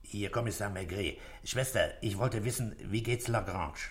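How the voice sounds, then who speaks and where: An actor playing a brisk, methodical French police commissioner in a German radio crime drama, terse and businesslike. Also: male voice, lowish voice, lightly acted. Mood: pensive, measured. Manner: terse, businesslike, thinking aloud.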